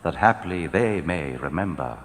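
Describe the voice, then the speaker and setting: A man reading translated scripture in a solemn, measured, declamatory style, letting his phrases fall at the end. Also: low-pitched, expressive. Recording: long hall reverb.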